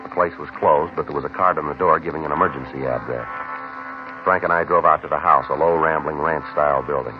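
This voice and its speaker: An actor playing a terse, businesslike police detective in a 1950s radio drama, clipped, polite and matter-of-fact. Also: male voice, extremely low pitch, fairly quick, music in the background. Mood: factual, clipped. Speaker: detective narrator and lead. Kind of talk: 1950s radio drama, documentary-like scripted narration. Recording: muffled, hissy old 1950s radio recording.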